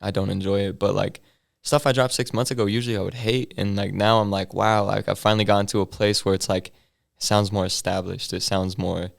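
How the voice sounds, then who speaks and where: Young male rapper guest: very low, flat, gravelly voice, relaxed slangy delivery. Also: brisk. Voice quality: deep bassy voice that he says sounds mumbled. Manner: laid-back slang-heavy storytelling with many fillers. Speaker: hip hop artist guest. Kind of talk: casual interview chat.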